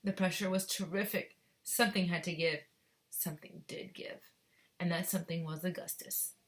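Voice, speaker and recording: A woman's voice: lowish voice, fairly even intonation, normal speed. A woman teacher reading a children's story aloud expressively, with explanatory asides for young pupils. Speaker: second-grade teacher.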